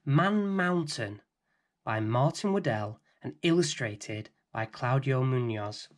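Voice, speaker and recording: A middle-aged man hosting and reading a children's bedtime story in a gentle, expressive way. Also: mid-range voice, slow pace. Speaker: storybook reader and host.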